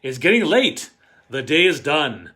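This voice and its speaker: A fearless, reassuring parent from a bedtime storybook, read out by a man. The voice is bright and forceful. The rhymes come in a measured, emphatic singsong. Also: fairly high pitch, sing-song, exaggerated voice. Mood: bold, reassuring.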